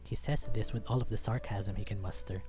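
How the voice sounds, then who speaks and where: A man's voice: lowish voice, steady tone, fairly quick, over music. A man reading a visual novel aloud as dramatic first-person story narration.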